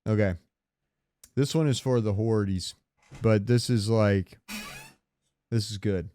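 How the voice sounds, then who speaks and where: A man's voice: on the low side, slow pace, mellow, no echo, studio quality. A man co-hosting a casual gaming podcast, opinionated and rambling, swearing freely. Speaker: podcast co-host.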